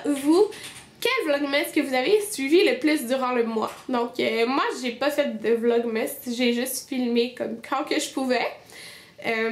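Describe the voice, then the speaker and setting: Chatty Québécois woman, high and stuffy. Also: echoey room. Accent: Québécois French. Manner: chatty, many fillers and restarts. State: stuffy with a cold. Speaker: beauty vlogger. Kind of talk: casual monthly favorites video.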